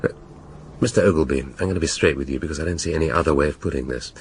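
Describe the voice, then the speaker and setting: An English actor playing a middle-aged police inspector in a radio drama, shrewd and dry, speaking deliberately with authority. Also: male voice, very deep voice, a little hiss or hum. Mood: probing, formal. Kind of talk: naturalistic radio drama acting.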